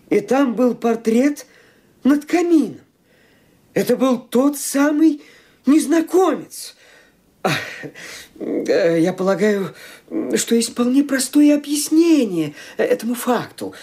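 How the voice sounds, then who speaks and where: An actor playing a hesitant young nephew who halts and fumbles for words in a radio play. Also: male voice, very high voice, dark tone, husky, heavily acted. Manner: hesitant, halting, full of fillers. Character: awkward young nephew explaining himself to his aunt.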